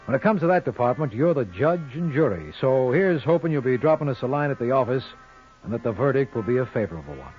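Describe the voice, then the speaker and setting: An actor playing a wry, quick-witted American lawyer sleuth in an old-time radio detective drama, with slangy, folksy wisecracks. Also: male voice, medium pitch, very animated, close to an everyday voice. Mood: folksy sign-off. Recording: dull old radio recording with music.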